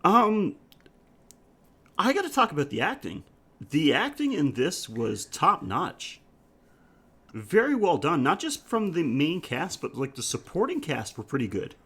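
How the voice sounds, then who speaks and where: A man's voice: a little high, huge pitch swings, unhurried, a bit bright, slightly rough. A man hosting a podcast, chatting casually about film in an enthusiastic, rambling way. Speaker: podcast host. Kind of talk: casual film review chat.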